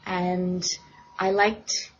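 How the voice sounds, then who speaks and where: A woman's voice: fairly low pitch, flat delivery, extremely slow. A woman from Montreal, an English teacher answering interview questions in a friendly, easygoing way. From Montreal, Canada. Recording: muffled lo-fi recording with faint hiss.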